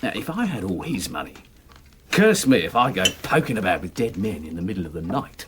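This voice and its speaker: An actor playing a gossipy working-class manservant in a radio drama, complaining colloquially over drinks. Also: male voice, sits low, big pitch swings, brisk, noisy background. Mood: confiding, grumbling.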